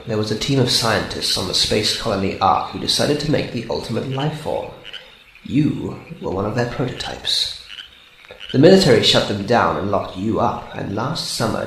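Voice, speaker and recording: Man playing a gloating, gravelly robot villain, roomy sound. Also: on the low side, a bit bright, heavily acted. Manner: gloating, mock-sweet needling. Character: sadistic, taunting male robot hunter with claws. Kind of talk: amateur radio drama villain acting. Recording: roomy sound with faint noise.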